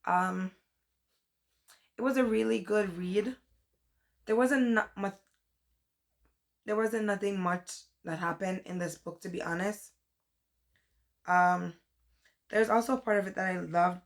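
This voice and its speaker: A young woman whose voice is hoarse from a cold, chatting casually and rambling a little as she reviews books in a vlog. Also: mid-range voice, a little slow, long pauses. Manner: chatty, rambling, says "like" and "um" often. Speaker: book vlogger.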